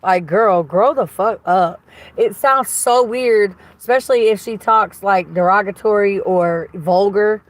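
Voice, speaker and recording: A woman with a slightly raspy voice hosting a livestream, chatting casually and reading viewer comments in a blunt, gossipy way that is full of swearing. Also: mid-range voice, a little slow. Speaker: livestream host.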